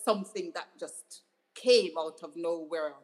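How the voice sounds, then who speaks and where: A woman's voice: fairly low pitch, measured pace. A middle-aged woman from Jamaica, a doctor and author, speaking earnestly as an interview guest, often restarting phrases. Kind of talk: interview conversation.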